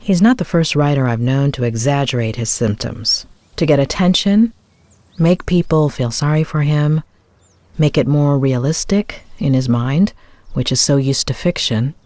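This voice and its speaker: An actress playing a composed, analytical female psychotherapist in a video game drama, speaking in a measured, reflective way. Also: deep voice, mellow, dry recording, close to an everyday voice. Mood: pensive. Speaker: therapist, doctor. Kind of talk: video game drama acting, inner monologue and dialogue.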